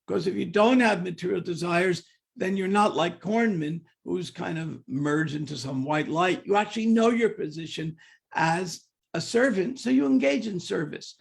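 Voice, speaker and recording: A man's voice: high voice, normal speed, creaky. An older man leading a devotional scripture class, mixing humorous personal stories with questions to the audience and often stumbling over repeated words. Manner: many repeated "and, and" restarts. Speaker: class speaker.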